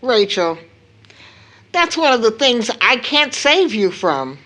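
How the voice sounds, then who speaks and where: An actress playing a caring, proper middle-aged mother in an audio drama of an early 1900s play, with an earnest, measured manner. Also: fairly high pitch, sing-song, pushed and bright, exaggerated voice. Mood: earnest, concerned.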